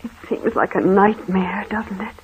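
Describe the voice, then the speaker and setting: An actress playing a frightened, anxious wife in a melodramatic old radio horror play. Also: female voice, medium pitch, fairly even intonation, measured pace, lightly acted. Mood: scared, subdued. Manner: fearful, melodramatic. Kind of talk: 1940s radio drama acting. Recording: tinny, hissy old radio recording.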